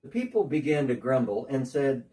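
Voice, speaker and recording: Short, earnest tax collector recounting his change of heart , played by a man, lively up-and-down delivery, mellow tone, miked from a distance. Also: medium pitch, normal speed, lightly acted. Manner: earnest storytelling, talking as if on a phone call. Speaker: dramatic reader. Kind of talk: first-person dramatic monologue.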